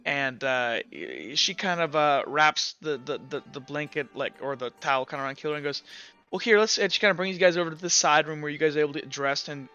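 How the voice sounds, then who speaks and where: A man running a tabletop roleplaying game as game master, improvising narration in a casual, halting, sweary way. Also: a little high, bright, forceful tone, smooth, muffled recording, soft background music.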